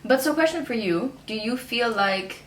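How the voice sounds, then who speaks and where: A woman's voice: a little high, lively intonation, moderate pace. A young woman chatting casually as a guest, reflecting informally on her own experience. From born in Dubai, moved around often. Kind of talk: casual conversational discussion. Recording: echoey room with some background noise.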